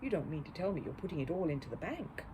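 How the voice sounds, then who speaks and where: Gentleman trickster acted by a woman, polite, confiding, smooth, animated pitch, storybook style, clear background noise. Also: fast talker. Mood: eager interest. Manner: confiding, approving, hasty.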